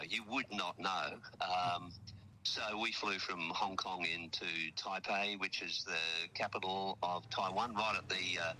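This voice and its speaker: Aussie correspondent calling in, bright tense voice, lowish pitch, rambling with lots of ums. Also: male voice, a little hiss or hum, poor audio. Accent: Australian. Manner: chatty, rambling, many fillers and restarts. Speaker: radio correspondent. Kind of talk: casual chat on a phone link. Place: outdoors at a memorial hall abroad.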